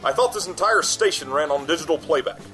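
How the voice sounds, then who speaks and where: Man acting as pompous mayor, florid comic radio ad delivery, slightly high pitch, faint guitar music. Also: animated, quick pace, pushed and bright. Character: pompous, self-loving male small-town mayor.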